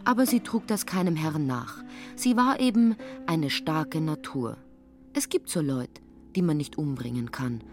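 A woman with a fairly low voice narrates a literary radio drama over faint music. The storytelling is plain and matter-of-fact. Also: animated, a little slow, a little mellow.

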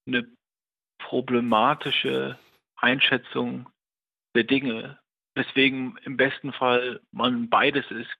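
A man's voice: mid-range voice, vocal fry, breathy edge. A man being interviewed as a writer, speaking reflectively and hesitantly, searching for his words. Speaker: writer and radio play director interviewed. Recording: muffled phone-like remote line.

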